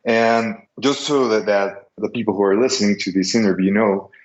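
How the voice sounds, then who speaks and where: Casting note: young Mexican man, Spanish accent, low voice, careful non-native English, roomy muffled audio. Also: fairly even intonation, moderate pace. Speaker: guest, business seller. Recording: echoey, dull lo-fi line.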